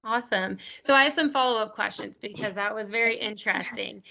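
Bright-voiced woman podcast host. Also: mid-range voice, brisk, creaky, poor audio. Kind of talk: scripted intro, then casual interview.

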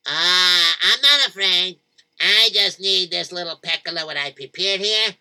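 A man's voice: sits high, a little slow, bright, forceful tone, smooth, exaggerated voice. A man voicing an eager, confident young boy in a spoken story. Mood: bold. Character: brave, cheerful young boy.

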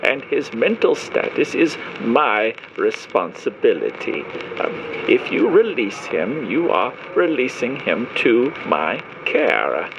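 An actor playing a protective ship's doctor in an audio drama, polite, formal and measured, with wordy phrasing. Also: male voice, medium pitch, sing-song, vocal fry, background noise, exaggerated voice. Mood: hesitant, reassuring.

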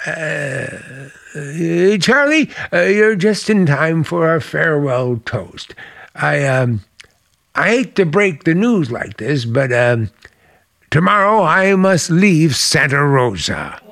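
An actor playing a charming but menacing uncle in old-time radio drama, with smooth, hesitant, genial talk that masks a threat. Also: male voice, a little high, sing-song, takes it slowly, a bit bright, dry recording, studio quality, exaggerated voice. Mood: hesitant, affable. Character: charming, menacing uncle hiding a dark secret.

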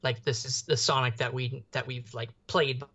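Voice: mid-range voice, fairly even intonation, normal speed, fairly bright tone, muffled recording. A young adult podcast co-host chatting casually about pop culture, rambling and often searching for words.

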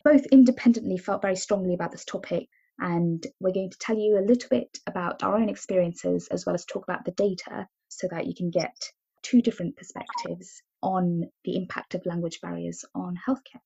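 A woman's voice: lowish voice, a little fast, creaky, warm tone, slightly rough, dull audio. A woman from India whose first language is Tamil, co-hosting a podcast and asking curious questions. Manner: curious, asks many questions, frequent agreeing murmurs. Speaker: podcast co-host. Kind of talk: casual conversational podcast.

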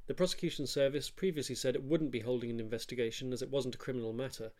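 A man's voice: medium pitch, fairly even intonation, a little mellow. A man hosting a news podcast, reading headlines and explaining stories with many fillers and restarts. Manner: quick, halting with many fillers. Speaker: podcast host.

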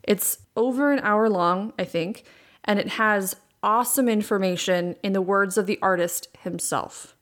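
A young adult woman hosting a solo podcast, with a raspy voice and vocal fry worn from recording many times, talking candidly and repeating words for emphasis. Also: medium pitch, measured pace, a bit bright. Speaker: podcast host. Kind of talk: casual solo podcast talk. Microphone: USB condenser microphone.

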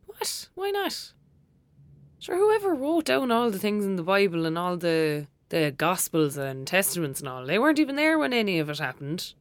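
A woman's voice: mid-range voice, very animated, moderate pace, dry recording, natural voice. A woman playing a blunt, sceptical young girl with an Irish accent in an audio drama, speaking in a casual, colloquial way. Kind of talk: naturalistic audio drama acting.

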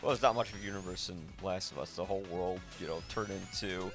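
A man's voice: deep voice, fairly even intonation, quick pace. Man in casual, rambling film chat over music. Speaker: podcast host.

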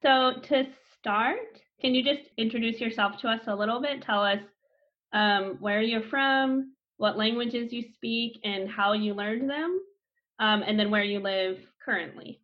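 A woman's voice: fairly high pitch, a little slow, muffled recording. A woman co-hosting a podcast, asking a guest a string of questions. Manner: asks questions in long strings. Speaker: podcast co-host.

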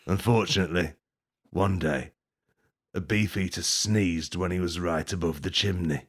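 A man rambling through an improvised mock tour-guide bit, deadpan and absurd, swearing and laughing at his own jokes. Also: deep voice, normal speed, slightly rough. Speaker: podcast host.

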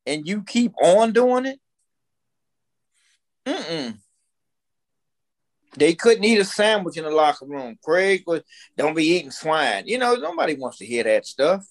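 High-voiced American man in his fifties. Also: big pitch swings, a little slow, pauses often. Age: 50s. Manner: blunt, opinionated, emphatic repetition. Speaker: podcast guest. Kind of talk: casual storytelling conversation.

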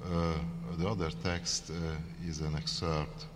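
A man with a heavy Hungarian accent, an author introducing his reading haltingly, with many pauses and fillers. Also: a bass voice, little pitch movement, very noisy. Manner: hesitant, many fillers, slow and careful. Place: church hall. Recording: reverberant church, faint ambience.

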